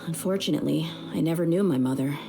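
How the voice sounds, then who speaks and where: A woman's voice: deep voice, fast talker, gravelly. A woman playing a tough, easygoing young mercenary in a video game, frank and self-assured. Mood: matter-of-fact. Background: background music.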